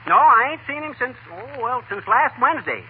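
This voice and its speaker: A blustering, mischievous husband in a vintage radio comedy. His voice is high and swings animatedly, folksy and cocky. It comes through tinny and slightly hissy. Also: animated, fairly quick. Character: blustering, boastful, mischievous husband, stubbornly frank. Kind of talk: 1940s radio comedy acting.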